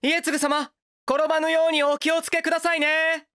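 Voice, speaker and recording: A man's voice: very high-pitched, level tone, normal speed, sharp tone, no echo, clean studio sound. A man voicing a devoted, courteous retainer with formal, deferential and theatrical speech in a comedic voice anime. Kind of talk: Japanese voice-anime comedy acting. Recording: music bed behind the voice.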